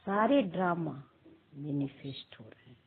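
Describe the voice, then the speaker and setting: A woman leading a guided meditation, speaking in short, deliberate phrases with long pauses, on muffled, narrow audio. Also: sits low, lively intonation, husky.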